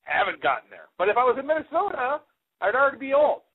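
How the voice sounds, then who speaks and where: A man's voice: extremely high pitch, very animated, fast, breathy edge. A man in his forties hosting a radio show, casually rambling through announcements with frequent hesitations on a headset mic. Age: 40s. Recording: phone-like, band-limited internet radio sound.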